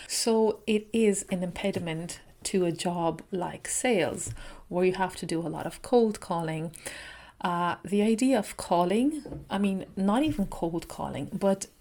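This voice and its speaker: Woman, vlogger, storytime monologue, reflective, slow-paced, lowish voice. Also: darkish tone. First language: not Spanish. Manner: unhurried, reflective storytelling with pauses.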